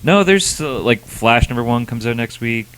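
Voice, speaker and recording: A man's voice: medium pitch, animated, normal speed, a bit bright, clean tone. A man co-hosting a casual review chat, giving blunt, slangy opinions. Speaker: co-host of a comic book review show.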